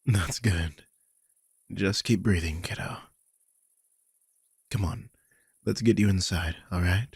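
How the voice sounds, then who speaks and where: A man acts out a protective guardian in an intimate audio drama. His voice is low and very breathy, with a husky edge, and he speaks slowly and gently. He sounds careful and burdened with guilt, often sinking close to a whisper. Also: dry recording, heavily acted. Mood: soothing, reassuring. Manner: soft, hesitant, soothing, with frequent sighs. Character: protective, guilt-ridden male magical guardian, gently comforting.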